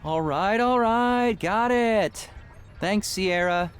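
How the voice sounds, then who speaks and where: An actor playing an easygoing, curious young tinkerer, the wry and casual hero of an adventure video game. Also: male voice, high voice, takes it slowly, soft background music. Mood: casual, sheepish. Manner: wry, casual, musing aloud. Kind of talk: video game character dialogue.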